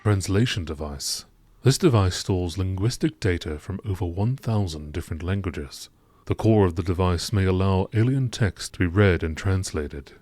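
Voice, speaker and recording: A man narrating scripted science-fiction lore entries in a measured, formal documentary style. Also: deep voice, a little mellow.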